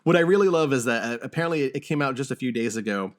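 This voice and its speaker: A man with a medium-pitched voice talks casually as a podcast host. His delivery is halting, with stammered starts as he works through his thoughts in conversation. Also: lively intonation. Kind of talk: casual movie discussion.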